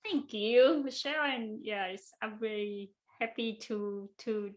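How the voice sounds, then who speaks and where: Vietnamese-accented woman, halting and laughing. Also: on the high side, fairly even intonation, highs cut off. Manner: hesitant, halting, laughs often. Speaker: guest. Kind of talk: casual interview chat. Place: office.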